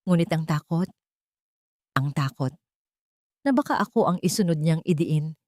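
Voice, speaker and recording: A frightened socialite weighed down by guilt and debt, as a woman narrator puts her on, her words trembling and pleading. The voice is lowish and recorded close and dry. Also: animated, moderate pace, a little mellow, no room sound. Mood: tearful.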